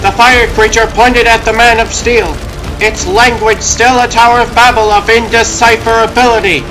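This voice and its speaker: A man's voice: very high voice, level tone, moderate pace, noisy background. A man giving scripted, dramatic narration of a superhero comic.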